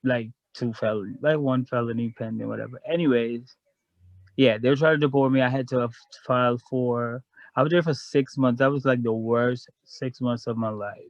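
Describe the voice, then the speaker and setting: A man's voice: medium pitch, fairly even intonation, moderate pace, no echo, lo-fi sound. A man from Guyana, a guest in a casual chat, telling a long story in a frank, rambling way.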